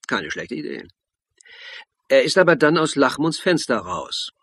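An actor playing a senior police officer in a crime radio play, wry and familiar, with a casual, probing manner. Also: male voice, mid-range voice, very animated, a little slow, gravelly, a bit bright, breathy edge, exaggerated voice. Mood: chatty, probing.

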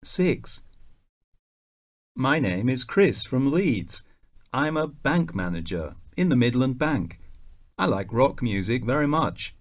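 A man reading scripted self-introductions for a listening exercise in a slow, careful way. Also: mid-range voice, big pitch swings. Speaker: recorded listening-exercise speaker. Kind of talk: scripted textbook dialogue.

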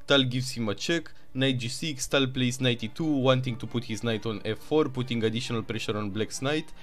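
A man with a Romanian accent narrating chess games move by move, with excited asides. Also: mid-range voice, normal speed. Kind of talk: chess game commentary.

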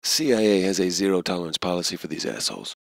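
Creaky-voiced American Marine. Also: male voice, deep voice, very animated, fast, dry recording, clean studio sound, full character voice. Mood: wry, casual. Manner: laconic, wry, profane. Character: gruff, wry American Marine special-operations soldier. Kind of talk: video game battle chatter.

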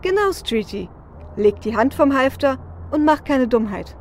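Cyberpunk audio drama: cocky female technomancer, loyal and cutting, highish voice, played by a woman. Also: animated, a little slow, darkish tone. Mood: watchful. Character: cocky, sharp-tongued female technomancer hacker, loyal to her team. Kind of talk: fan audio drama acting. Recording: faint vehicle and street noise.

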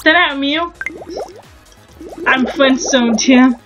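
A young woman from Denmark with a Danish accent, chatting casually and haltingly as she shows a game on her new channel, restarting her sentences often. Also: high voice, lo-fi sound, over music. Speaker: gaming channel host. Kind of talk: casual gameplay commentary.

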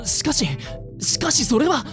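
A woman's voice: high voice, expressive, measured pace, faint music behind. A woman playing an earnest, stiff teenage boy who is student council secretary in a voice drama, deferential in manner. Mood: alarmed. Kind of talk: amateur voice drama acting.

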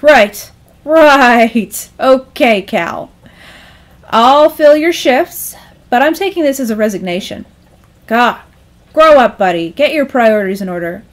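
A woman's voice: on the high side, expressive, slow. A woman voicing a terse, exasperated female co-manager in a storybook tale, with a curt manner. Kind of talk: acted storytelling dialogue.

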